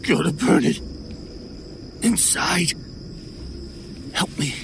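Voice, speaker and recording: A man voicing an injured, panicked man who speaks in choked, halting bursts. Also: on the high side, animated, vocal fry, background noise, exaggerated voice. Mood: desperate.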